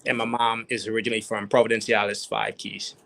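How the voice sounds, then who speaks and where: A lowish, bright man's voice from the Turks and Caicos Islands answers interview questions as a guest. He talks casually and hesitantly, filling pauses with ums as he thinks aloud. He is heard over a remote call. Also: flat delivery, gravelly. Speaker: guest entrepreneur.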